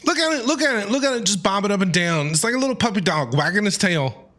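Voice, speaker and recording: A woman's voice: mid-range voice, animated, fast talker, a bit bright, a little hiss or hum. A woman streamer chatting playfully and reacting casually while she plays a video game. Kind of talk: casual reactions over gameplay. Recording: game music behind the voice.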